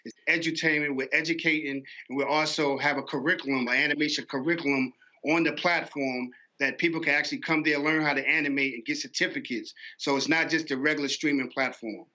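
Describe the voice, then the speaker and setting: A man's voice: on the high side, fairly even intonation, a little fast, pushed and bright, dull audio. A man being interviewed as an animation entrepreneur, explaining his business conversationally.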